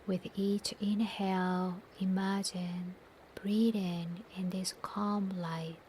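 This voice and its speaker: A woman guides a meditation very slowly in a soft, breathy near-whisper. Her pitch stays slightly low and very even, with long soothing pauses. Faint ambience sits behind her voice. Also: a little hiss or hum. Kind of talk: guided meditation, slow scripted instruction. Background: soft nature ambience.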